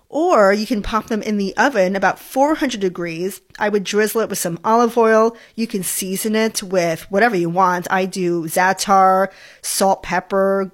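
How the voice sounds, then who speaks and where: A young adult woman from New York, hosting a food podcast in a friendly, chatty way and talking with enthusiasm about food. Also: medium pitch. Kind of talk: casual solo podcast talk.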